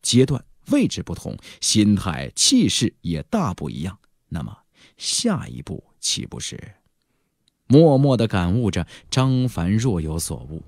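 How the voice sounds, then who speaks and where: A man's voice: mid-range voice, darkish tone, slightly rough. A man narrating a fantasy audiobook in a theatrical, emphatic style, drawing out key phrases for drama. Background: faint background music.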